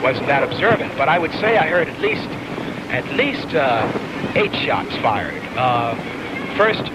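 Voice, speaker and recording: A man with a fairly high voice gives an eyewitness account to a news interviewer. He speaks haltingly, searching for words, over the very heavy noise of an old newsreel recording. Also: sing-song.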